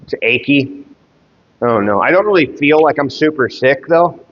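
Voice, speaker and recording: A stuffed-up man chatting casually on a fitness livestream, talking in halting, rambling bursts full of fillers. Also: fairly high pitch, lively intonation. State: congested, stuffed up. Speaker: fitness streamer. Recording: muffled sound with long reverb.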